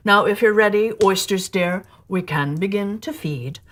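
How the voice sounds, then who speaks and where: A woman's voice: medium pitch, moderate pace, a bit bright, lightly acted. A woman voicing a grandly persuasive, falsely tearful male walrus for a storybook poem, with a pompous, coaxing manner. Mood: smooth, scheming.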